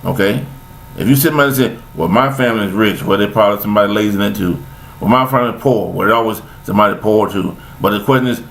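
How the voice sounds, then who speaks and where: Older guy, raspy fry, rambling on preachy about life, kinda repeats himself. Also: medium pitch, fairly bright tone, poor audio. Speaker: vlogger giving life commentary. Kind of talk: rambling spoken monologue.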